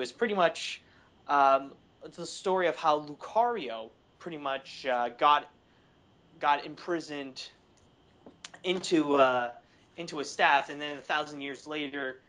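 A man in a casual film discussion, speaking haltingly and searching for his words, often saying "like". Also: a little high, a bit bright. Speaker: podcast panelist.

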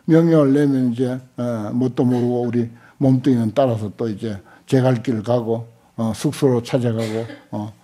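An older man giving a Buddhist scripture lecture, halting often with small interjections and repeating points for emphasis. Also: medium pitch, fairly even intonation, mellow, a little hiss or hum. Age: older, 70s or above. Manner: unhurried, halting with frequent interjections, emphatic repetition. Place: indoor lecture hall with seated audience. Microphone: desk stand microphones.

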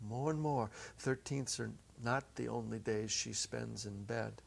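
Middle-aged guy doing a dramatic story reading, gravelly, measured and expressive. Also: mid-range voice. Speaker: narrator, dramatic reader. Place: small indoor set. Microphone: lapel.

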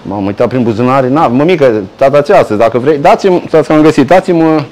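A man's voice: mid-range voice, slight background noise, rough recording. A middle-aged man reporting from inside a delicatessen, joking and teasing as he questions the staff. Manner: jokey, teasing, animated. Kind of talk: lively walk-through interview in a shop. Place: small delicatessen shop indoors.

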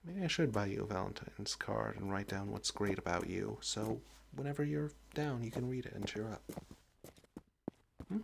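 A man acts out a young, goofy college guy with golden-retriever sweetness in a romantic audio roleplay. His voice sits low and crackles with fry as he rambles expressively and obliviously. There is some noise behind the recording. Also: moderate pace. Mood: playful, affectionate. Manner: chatty, expressive, boyish, rambling.

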